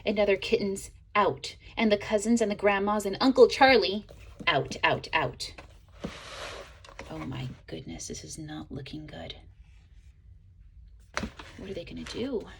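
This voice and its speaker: A woman reading a children's picture book aloud in an expressive way, as a teacher would to young listeners. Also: medium pitch, background noise. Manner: expressive, pauses to ask listeners questions. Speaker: storybook reader, teacher.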